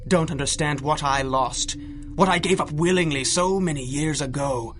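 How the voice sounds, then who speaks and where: Man as a bright, grandiose sorcerer villain. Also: fairly high pitch, moderate pace, slight background noise, close to an everyday voice. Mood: brooding. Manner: theatrical, menacing, taunting. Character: malevolent, grandiose centuries-old male sorcerer villain. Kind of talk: 1930s pulp radio drama acting.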